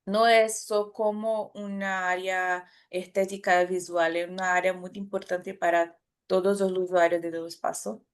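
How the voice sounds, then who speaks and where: Woman with a Brazilian Portuguese accent, halting. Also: on the low side, level tone, smooth. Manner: careful, halting, with restarts and self-corrections. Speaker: academic presenter. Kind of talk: conference talk.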